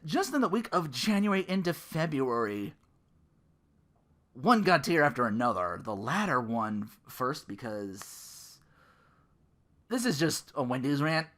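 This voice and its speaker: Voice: mid-range voice, big pitch swings, measured pace, fairly bright tone. A podcast host in their thirties on a casual comedic rant, sarcastic and profane, sometimes slipping into mocking quotes. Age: 30s.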